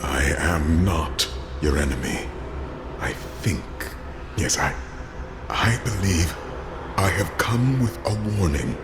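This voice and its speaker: A man voicing a warrior with no memory who speaks in halting, grave fragments, in a video game. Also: rumbling low, expressive, over music, full character voice. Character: confused male warrior who lost his memory.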